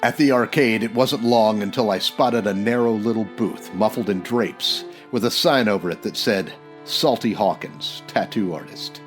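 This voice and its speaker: An actor playing a tough, wisecracking private detective in a terse 1940s radio noir style. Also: male voice, fairly low pitch, moderate pace, soft background music, close to an everyday voice. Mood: brooding narration. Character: hard-boiled, wisecracking male private detective from old-time radio.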